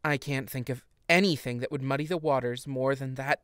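A woman's voice: very deep voice, a little fast, clean tone, dry recording, clean studio sound. An actress playing an exasperated fairy queen with a sarcastic, cutting manner in a modern audio drama. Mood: sardonic. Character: exasperated, sardonic fairy queen, sharp-tongued bickering wife.

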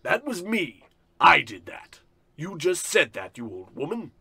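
An actor playing a pompous, cruel, greedy rich boss, bombastic and scornful, in a parody of old radio drama. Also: male voice, a little high, big pitch swings, a little slow, gravelly, sharp tone, husky, full character voice. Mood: irritated, haughty.

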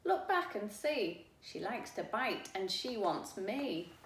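A woman's voice: medium pitch, sing-song, slow pace, a bit bright, roomy sound, slight background noise. A woman voicing a worried, defensive dog on the run in a children's storybook. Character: worried dog fleeing a pig, protesting innocence.

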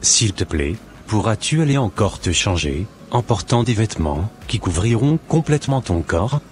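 Deep-voiced young evangelist played by a man. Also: very animated, moderate pace, slight background noise. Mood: polite. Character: young marriage-minded evangelist man, polite and scheming.

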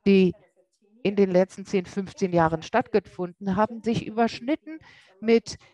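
A woman interpreting a lecture live, speaking haltingly and often correcting herself mid-word. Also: mid-range voice, mellow, no echo, rough recording. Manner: halting, with frequent self-corrections. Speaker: simultaneous interpreter.

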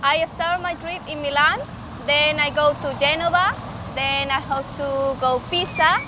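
High-voiced Chilean woman, halting English. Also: fairly even intonation. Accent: Chilean Spanish. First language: Spanish. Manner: simple halting English, friendly. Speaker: interviewed solo traveler. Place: outdoors in a large city square. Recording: outdoor street noise behind.

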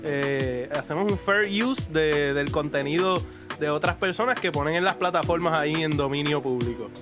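A man's voice: on the high side, moderate pace. A man with a Puerto Rican accent chatting casually as a podcast guest, in slang full of tag questions. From Puerto Rico. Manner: slangy, often tags phrases with a question. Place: bedroom-style streaming room, indoors. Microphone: close desk microphone. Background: music.

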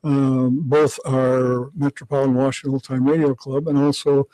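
A man's voice: medium pitch, little pitch movement, moderate pace, mellow, dry recording, rough recording. An older man, a radio historian, explaining things as a studio guest. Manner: explanatory, lecturing. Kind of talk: interview guest. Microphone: studio microphone.

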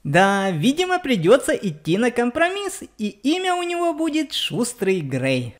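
A woman's voice: fairly high pitch, wildly swooping pitch, a little slow. A woman giving explanatory game commentary for children, speaking directly to her young viewers. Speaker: let's play narrator on a children's channel.

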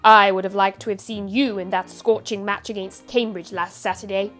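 A woman playing a boastful, playful young gentleman cricketer bantering in a 1930s radio drama. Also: mid-range voice, fairly even intonation, normal speed, soft background music, close to an everyday voice. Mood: playful boasting. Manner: bantering, mock-wounded.

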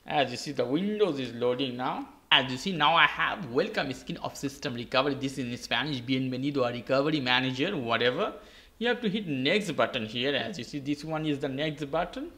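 A man's voice: medium pitch, lively intonation, a little fast, a bit bright. A man hosting a step-by-step tech tutorial in simple, repetitive, non-native English phrasing. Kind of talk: step-by-step how-to narration. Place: indoors at a desk with a laptop.

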